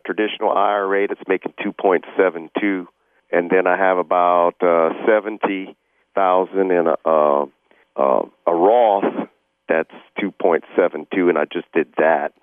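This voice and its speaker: Man, 60s, caller, hesitant with fillers, lowish voice, phone-line sound. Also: steady tone, measured pace, dry recording. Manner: hesitant, many "uh" fillers, throat clearing. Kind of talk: call-in question on a radio show.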